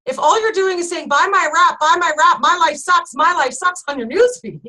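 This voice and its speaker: A woman leading her sales team in a casual motivational chat, emphatic and animated, often turning to the listeners directly. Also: very high voice, moderate pace. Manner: emphatic, animated, keeps addressing listeners as "you guys".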